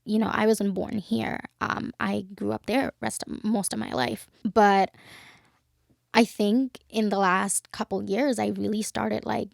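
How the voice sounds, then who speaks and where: Woman in her twenties, podcast co-host, mid pitch, musing, dry sound. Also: fairly even intonation, measured pace, vocal fry, dry recording. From Bangladesh. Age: young adult. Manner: reflective, many fillers like "you know". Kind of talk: casual chat.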